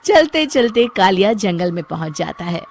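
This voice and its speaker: Lively woman story narrator. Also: medium pitch, moderate pace. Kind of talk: scripted children's story narration. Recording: clearly noisy background.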